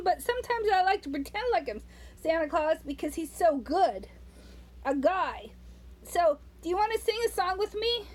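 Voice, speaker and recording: A woman reading a storybook aloud to young children, expressive and sing-song, adding playful asides straight to the listener. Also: extremely high pitch.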